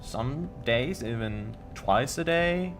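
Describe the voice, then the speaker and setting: A man's voice: medium pitch, expressive, takes it slowly, faint music behind. A young man from Finland with a Finnish accent, chatting casually on a game live stream and stumbling over his words. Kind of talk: casual live-stream chat while building.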